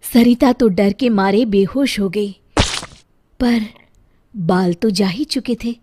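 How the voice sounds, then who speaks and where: A woman's voice: medium pitch, slow, mellow, a little hiss or hum. A woman narrating a scripted moral story expressively as a storyteller. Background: faint music.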